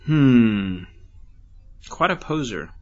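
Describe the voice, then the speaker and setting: A man's voice: medium pitch, big pitch swings, very slow, muffled recording. A man playing a dryly curious, sceptical confidant in an audio drama. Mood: musing.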